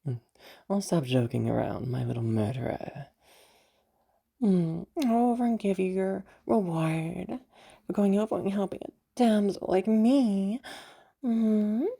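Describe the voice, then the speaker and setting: A woman playing a sly, teasing villainess with a menacing yet tender streak in an intimate romantic audio roleplay, pausing often with soft hums and sighs. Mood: flirtatious, teasing. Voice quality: low, husky, creaky.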